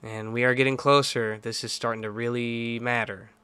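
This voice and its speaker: A man's voice: mid-range voice, little pitch movement, normal speed, sharp tone, smooth, dry recording. A man giving urgent, blunt political commentary, pressing his points with emphatic repetition.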